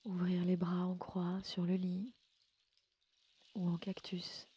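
A woman leading a guided sleep meditation in a gentle, soothing, unhurried way, often slipping into a breathy near-whisper. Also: on the low side, monotone. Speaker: yoga nidra guide and podcast host. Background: music.

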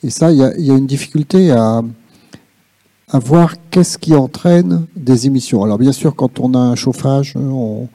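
Male discussion participant, halting and reflective, very dark timbre with gravelly creak. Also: mid-range voice, no echo. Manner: halting, searching for words, reflective. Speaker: audience participant in a policy workshop. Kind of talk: spontaneous spoken remarks at a public discussion.

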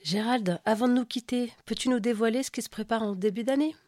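A woman's voice: fairly high pitch, fast talker, no room sound, clean studio sound. A woman interviewing a guest, asking questions and encouraging him with short replies. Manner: warm encouraging interviewer, brief affirmations. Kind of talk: casual interview chat.

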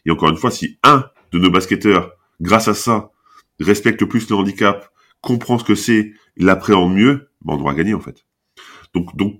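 A talkative man with a head cold, chatting casually as a podcast guest, rambling and restarting his sentences. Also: low voice. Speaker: podcast guest, basketball camp founder. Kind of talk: casual interview talk.

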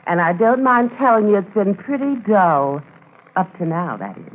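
A woman's voice: mid-range voice, very animated, normal speed, natural voice. Actress doing a gabby folksy cabin lady, voice all up and down, tinny old radio. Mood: chatty, impressed. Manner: garrulous, colloquial. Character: chatty, folksy, flattering woman running tourist cabins. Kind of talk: 1950s radio acting. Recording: tinny, hissy 1950s radio transcription.

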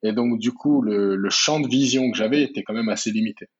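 A man's voice: fairly low pitch, a little fast, breathy edge, muffled recording. Hesitant man from the Paris region. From France, Paris region. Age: 30s. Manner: hesitant, many fillers and restarts. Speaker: guest entrepreneur. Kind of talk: casual interview talk.

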